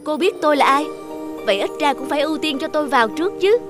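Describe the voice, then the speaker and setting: A woman's voice: high voice, fast, music in the background, pitched above the performer's own voice, lightly acted. A woman voicing a vain, pushy famous female singer in a cartoon, sounding demanding and indignant.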